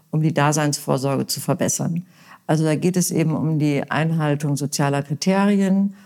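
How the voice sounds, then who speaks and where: A woman from Westphalia gives her answers as a local election candidate. She speaks in an earnest, considered way, often stopping to fill pauses and restart her sentences. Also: sits low, dark tone. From Westphalia, Germany. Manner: earnest, hesitant with many fillers. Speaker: mayoral candidate. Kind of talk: political interview answers.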